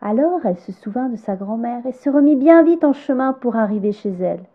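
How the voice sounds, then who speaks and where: A woman reading a children's fairy tale aloud, gentle and expressive, sometimes dropping to a hush. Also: fairly high pitch, brisk, narrow, tinny audio. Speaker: storyteller.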